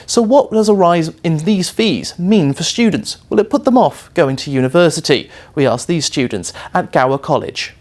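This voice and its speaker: A man narrating a news explainer with a measured, polished newsreader delivery. His voice sits a little high for a man, and every sentence comes out clean and even. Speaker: news reporter.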